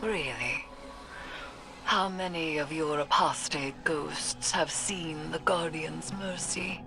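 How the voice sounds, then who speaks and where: Solemn occult adviser, female: very low register, ominous and formal game dialogue. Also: slow. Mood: cold, menacing. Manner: grave, formal, portentous. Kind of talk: video game mission dialogue. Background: game music.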